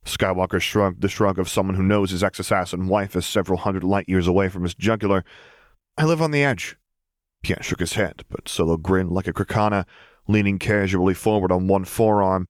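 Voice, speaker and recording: A man's voice: deep voice, level tone, fairly quick, no echo, clean studio sound. A man reading scripted fiction aloud as an audiobook narrator.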